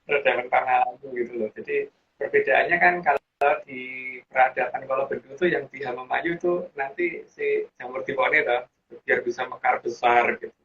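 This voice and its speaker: A man's voice: mid-range voice, a little fast. Rambling man explaining. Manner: rambling, restarts with frequent fillers. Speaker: guest explaining teachings. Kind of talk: casual explanatory chat. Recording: dull lo-fi audio with faint hiss.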